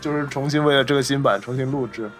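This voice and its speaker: A man with a medium-pitched voice co-hosts a casual film discussion. He talks in an explanatory, conversational way and readily chimes in with agreement. Music plays behind him. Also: fast, fairly bright tone. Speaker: podcast co-host.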